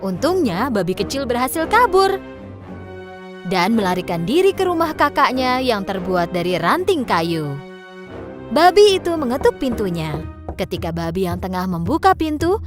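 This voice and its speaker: Female children's story narrator: higher register, very animated scripted read, backed by music. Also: normal speed.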